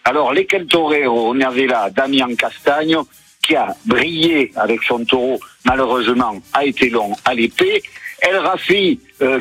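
A man giving a live radio report from a bullring, enthusiastic and halting, with many fillers. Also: medium pitch, a bit bright, breathy edge, no echo, rough recording. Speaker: field reporter. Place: bullring arena.

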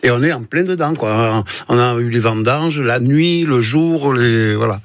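A man with a middling voice chats easily as a guest on a live radio show and drifts into childhood memories. He laughs often and talks warmly about the countryside. The sound is close and dry, with no room around it. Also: medium pitch, measured pace, dry recording. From Nice, France. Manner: chatty, reminiscing, laughs easily. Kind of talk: casual radio chat.

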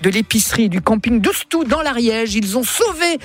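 A man hosting a radio show, chatting in a lively, teasing, effusive way and often repeating himself. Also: extremely high pitch, normal speed. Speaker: radio host. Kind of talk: lively live radio interview chat.

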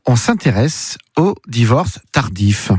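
Husky-voiced male radio host. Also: medium pitch, sing-song, slow, darkish tone, dry recording, clean studio sound. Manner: upbeat, announcing. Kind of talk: radio presenting.

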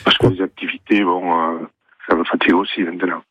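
A middle-aged man from southern France calling in to a radio show, rambling with many pauses. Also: low-pitched, little pitch movement, sharp tone, no room sound. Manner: rambling, hesitant with many fillers. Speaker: caller. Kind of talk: phone-in chat. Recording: muffled phone-line audio.

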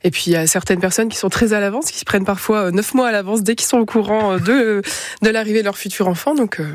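A woman's voice: medium pitch, fast. A woman from Normandy with a French accent, explaining her work as a guest in a radio interview. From Manche, Normandy, France. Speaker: guest, micro-crèche director.

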